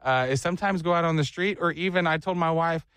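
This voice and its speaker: Playful high-voiced man hosting morning radio. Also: fast, fairly bright tone, clean tone, no room sound. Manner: playful, self-mocking. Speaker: radio morning show host. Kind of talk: joking morning radio banter.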